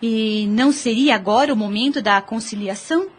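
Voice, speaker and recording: Woman acting devoted sister, very high pitch, encouraging, theatrical formal wording, radio play. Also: moderate pace, husky. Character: affectionate, eager, encouraging married younger sister. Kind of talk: Spiritist radio drama acting.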